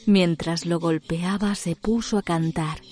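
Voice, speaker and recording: A woman narrating a literary tale as a storyteller, in a measured, dramatic scripted delivery. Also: on the low side, fairly even intonation, darkish tone, faint music behind.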